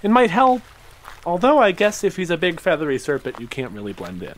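A man playing an excitable, skeptical joker on an adventure in an audio drama, talking casually and incredulously. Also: a little high, a little fast, a little hiss or hum. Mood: incredulous, joking. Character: excitable, skeptical, joking young guy on an adventure.

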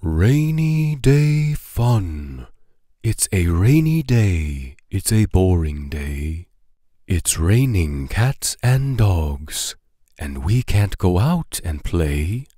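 A man reading children's rhyming poems aloud in a playful, deliberate, sing-song storybook style. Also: low-pitched, very animated, warm tone, dry recording, studio quality. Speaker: children's book read-aloud narrator.

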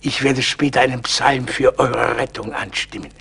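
A man playing a pious, bookish, eager psalm singer with a husky voice in a German radio play. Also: mid-range voice, fairly even intonation, moderate pace, a bit bright, exaggerated voice. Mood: hushed, conspiratorial.

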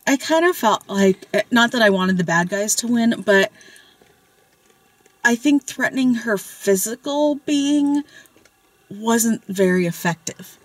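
A woman's voice: fairly high pitch, expressive, slow pace, dry recording, poor audio. A woman discussing a film in a parked car at night, speaking thoughtfully and often adding short agreeing replies. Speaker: co-host.

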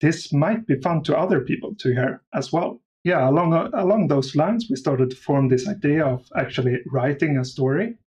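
A man's voice: fairly high pitch, lively intonation, normal speed, few pauses, darkish tone. A man speaking casually as an interview guest about his creative work. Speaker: podcast guest, audio drama creator.

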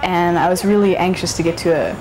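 A woman's voice: on the low side, brisk, background noise. A young woman from Maine, a college student, giving an interview testimonial. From Maine, United States. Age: young adult.

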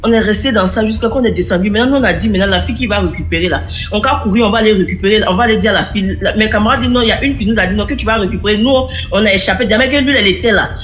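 A woman's voice: medium pitch, rapid-fire, hardly any pauses, fairly bright tone, slight background noise. A young woman telling a personal story in a rambling, repetitive way. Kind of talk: casual spoken testimony.

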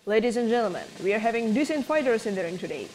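A woman's voice: on the high side, lively intonation, fast talker, a little mellow, a little hiss or hum, low-quality recording. A young adult woman presenting a scripted promotional product review, addressing viewers directly with rhetorical questions. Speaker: video presenter. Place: indoor studio with plain backdrop.

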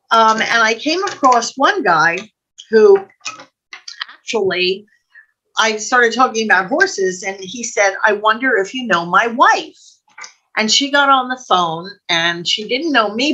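Older woman, bright forceful voice. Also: mid-range voice, animated, takes it slowly, low-quality recording. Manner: chatty, digressive, quotes people as she tells stories. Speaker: guest storyteller. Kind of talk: casual anecdotal storytelling in a video chat. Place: home room, indoors. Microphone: video call.

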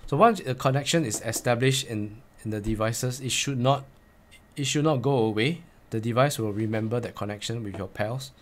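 A man's voice: mid-range voice, normal speed, a little hiss or hum. A man in his forties presenting a step-by-step tutorial in a plain, instructional way, repeating key points for emphasis. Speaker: presenter of motorcycle gear tips.

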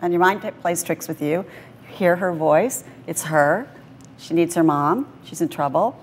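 A woman's voice: on the low side, moderate pace, slight background noise. A woman in her fifties recounting a personal experience as a guest on a talk show. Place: TV studio.

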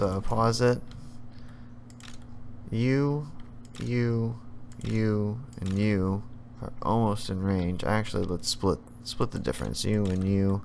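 A man casually commentating his own strategy game as he plays, barking short orders at his units and swearing freely, his voice a bit worn and often clearing his throat. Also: on the low side, a bit bright. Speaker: let's play host. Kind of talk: casual live gameplay commentary. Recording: noticeable background noise.

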